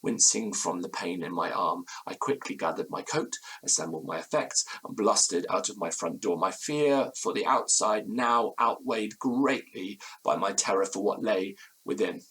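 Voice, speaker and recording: An actor playing a fearful, haunted gentleman, telling his ghostly tale in formal, grave gothic prose. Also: sits low, animated, normal speed, hardly any pauses, husky, exaggerated voice, rough recording. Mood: shaken, dread. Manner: formal, literary, grave phrasing. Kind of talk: dramatic first-person gothic storytelling.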